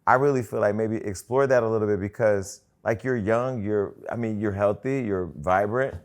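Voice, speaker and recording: Male talk host, 40s, low voice, casual and animated. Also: moderate pace. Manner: animated, hyping up his guest. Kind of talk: casual interview chat.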